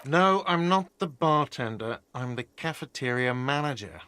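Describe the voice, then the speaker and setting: An actor playing an irritable, guarded cafeteria manager in a video game, curt and sardonic. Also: male voice, mid-range voice, lively intonation, moderate pace, sharp tone, clean tone, dry recording. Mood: curt, impatient. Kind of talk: game voice acting. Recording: music behind the voice. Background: game music.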